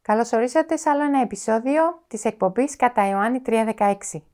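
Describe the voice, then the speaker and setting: A woman's voice: a little high, animated, fast, over music. Greek woman hosting an interview. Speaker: TV interview host. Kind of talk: interview conversation.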